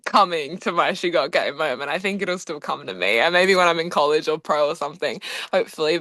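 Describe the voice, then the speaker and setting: An upbeat, chatty seventeen-year-old girl raised in Australia, whose first language is Hungarian. She answers interview questions in a relaxed way, full of "like" and little laughs. The recording is close and dry. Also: fairly low pitch, no room sound. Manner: upbeat, chatty, lots of "like" and "oh my goodness", laughs often. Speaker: basketball player, interview guest.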